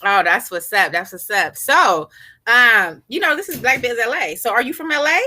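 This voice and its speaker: A woman's voice: a little high, expressive, moderate pace, sharp tone. An American woman hosting a live online interview in a casual, slangy, encouraging way. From Los Angeles, United States. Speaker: host of an online business community show.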